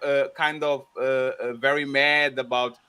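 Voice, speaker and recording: A man's voice: mid-range voice, level tone, a little slow, sharp tone, clear, resonant tone. A man hosting a casual panel discussion, stumbling and repeating words as he presses the others with questions. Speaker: panel host.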